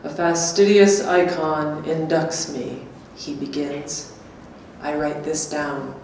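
Lady reading the part of a blunt teasing woman, slow wry delivery, super echoey room. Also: deep voice, fairly even intonation, a little mellow. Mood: measured reading. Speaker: performer reading a script. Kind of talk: staged reading of a literary dialogue. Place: small gallery room before an audience. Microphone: stand microphone, heard from a distant camera. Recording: echoey room, distant mic, faint noise.

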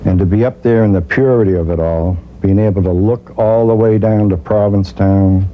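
A man's voice: fairly low pitch, normal speed, mellow, low-quality recording. A man in his sixties reminiscing in an interview, recorded on a lapel mic indoors. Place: small furnished room indoors.

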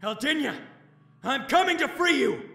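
An actor playing a brave, struggling young fantasy hero in an audio drama, speaking haltingly. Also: male voice, extremely high pitch, animated, vocal fry, bright, forceful tone, heavily acted. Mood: determined, regretful. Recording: music behind the voice.

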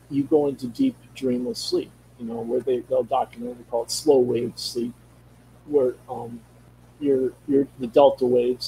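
A man's voice: medium pitch, gravelly, dark tone, a little hiss or hum, low-quality recording. A middle-aged man with a Minnesota accent who rambles and restarts as he talks over a video call from a room at home. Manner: rambling, searching for words, many restarts. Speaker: guest. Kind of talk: casual conversation. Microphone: studio mic on video call.

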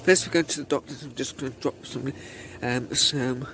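A man's voice: mid-range voice, normal speed, fairly bright tone. A British teenage man chatting casually for a vlog in a hesitant, rambling way. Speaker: student vlogger. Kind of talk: casual day-in-the-life vlog chat. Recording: clearly noisy amateur handheld recording.

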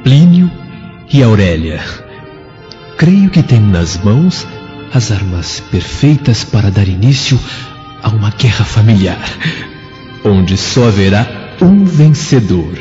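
An actor playing a scheming, treacherous villain in a radio drama, gloating and theatrical. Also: male voice, lowish voice, big pitch swings, slow, long pauses, warm tone. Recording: dull, reverberant hall, faint music.

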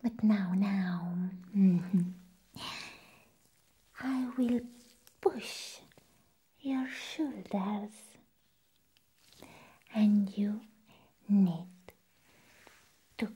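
A young woman whispers very slowly as an eccentric, playful vampire nurse in an ASMR medical exam. Her voice is extremely breathy and mellow, up close to the mic. She stretches her phrases and hums approvingly between them. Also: medium pitch. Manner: slow, drawn-out phrases with frequent approving hums. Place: small indoor room set up as a clinic. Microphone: close ASMR microphone.

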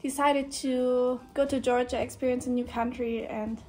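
Young German woman, soft mellow voice. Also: sits high, moderate pace. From northern Germany. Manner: relaxed, many fillers like "like" and "yeah". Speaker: guest volunteer. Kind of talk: casual chat on camera. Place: indoor room with shelves.

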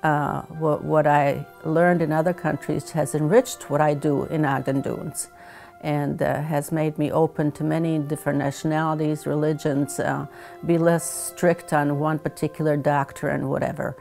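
Older lady, low gravelly voice, lots of uhs, chatting at home about her weaving. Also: flat delivery, normal speed, darkish tone, soft background music. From Croatia. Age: 70s. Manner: hesitant, many "uh" fillers, thoughtful. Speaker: weaver and retired teacher. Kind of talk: seated documentary interview. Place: home living room, indoors.

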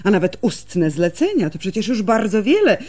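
An actress playing a candid, devoted elder sister from a village in a radio drama, explaining things in a measured, confiding way. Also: female voice, medium pitch, very animated, warm tone. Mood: earnest, explaining.

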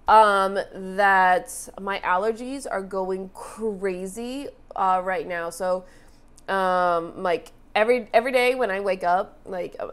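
A young woman with a stuffy, nasal voice from allergies, chatting casually and excitedly to camera as a reaction channel host in a small room. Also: mid-range voice, slow, clear, resonant tone. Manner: chatty, lots of fillers, excitable. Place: small bright room indoors.

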